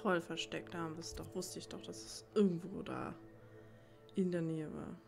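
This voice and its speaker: A woman's voice: fairly low pitch, fairly even intonation, normal speed, vocal fry, over music. A woman giving casual gameplay commentary, musing as if thinking aloud to herself. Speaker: let's play gamer.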